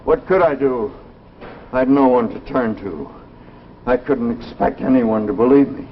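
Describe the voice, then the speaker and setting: An actor playing a heartbroken, lovelorn husband in a 1950s television drama, with an earnest, wistful delivery. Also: male voice, mid-range voice, normal speed, close to an everyday voice. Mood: resigned. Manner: earnest, wistful, repeats phrases. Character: heartbroken, lovelorn husband recounting his lost wife. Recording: muffled 1950s broadcast with faint hiss.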